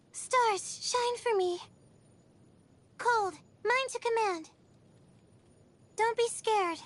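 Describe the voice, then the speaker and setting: Slow, very high, sleepy game girl. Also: fairly bright tone, full character voice. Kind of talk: video game character voice lines.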